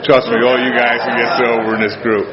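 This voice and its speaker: A man's voice: mid-range voice, little pitch movement, a little fast, a loud crowd. A man from Queens giving a plain-spoken, self-deprecating talk at a meeting, full of restarts and a little choked up. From Queens, New York. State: choked up with emotion. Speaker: speaker at a recovery meeting. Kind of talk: personal recovery talk to a group. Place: meeting room. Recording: dull lo-fi room recording with faint ambience.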